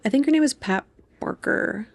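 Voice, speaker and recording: A woman's voice: sits high, expressive, normal speed, gravelly. A young woman co-hosting a podcast, talking casually and informally about books, with "like" sprinkled through her speech. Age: young adult. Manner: informal, heavy use of "like". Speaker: podcast co-host. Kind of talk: casual book discussion chat.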